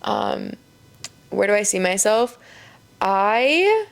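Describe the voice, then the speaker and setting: A woman's voice: medium pitch, wildly swooping pitch, slow pace, creaky. A woman in her twenties hosting a podcast, chatting casually and saying "like" a lot. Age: 20s. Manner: chatty, heavy use of "like". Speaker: podcast host. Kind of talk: casual chat.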